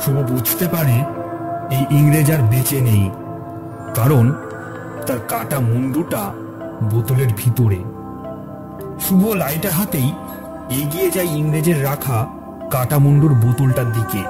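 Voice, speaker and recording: A man's voice: medium pitch, unhurried, pauses often. A man narrating a scripted ghost story in a dramatic storytelling style. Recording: clear music bed under narration. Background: music.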